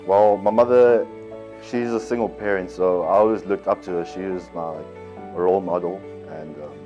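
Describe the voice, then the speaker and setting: Deep, flat young man from Cape Town. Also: moderate pace. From Cape Town, South Africa. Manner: hesitant, soft-spoken, with likes and ums. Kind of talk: personal interview. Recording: dull lo-fi audio over guitar music.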